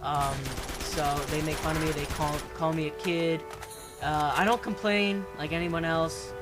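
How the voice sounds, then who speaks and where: A man's voice: a little high, fairly even intonation, a little slow, loud music behind the voice. A young man with a light voice, rambling casually as a panelist on a gaming discussion show. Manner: rambling, hedging with fillers.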